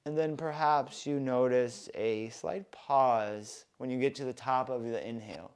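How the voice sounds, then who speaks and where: A man guides a breathing meditation in an even, mid-range voice. He talks very slowly and leaves long gaps between words. His tone stays soft and soothing throughout. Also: mid-range voice, smooth. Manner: slow, soft, calm and soothing guidance. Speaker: breathwork instructor.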